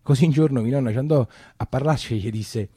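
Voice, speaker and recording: A colloquial Roman man played by a male actor recalls his boyhood in a theatrical monologue. His voice is dark and mellow, closely and dryly recorded, and he often doubles back on his words. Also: medium pitch, breathy edge, dry recording, clean studio sound, natural voice. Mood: reminiscing. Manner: colloquial, halting, with repetitions. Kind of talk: theatrical first-person storytelling.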